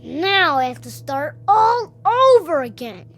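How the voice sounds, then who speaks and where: A little child for radio drama, high and unhurried. The kid is stubborn and whiny, with faint music behind. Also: female voice, very animated, heavily acted.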